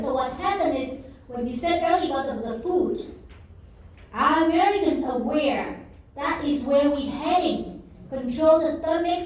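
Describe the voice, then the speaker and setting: A woman from Vietnam with a Vietnamese accent, earnestly asking a question from the floor at a town hall meeting in somewhat broken English. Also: sits high. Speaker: audience member asking a question. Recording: echoey room, far from the mic.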